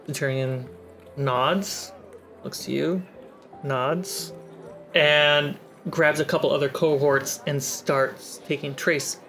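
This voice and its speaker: An easygoing game master narrates a tabletop game in a mid-pitched, relaxed voice. Scenes are described plainly, with pauses to prompt the players. Faint music sits behind.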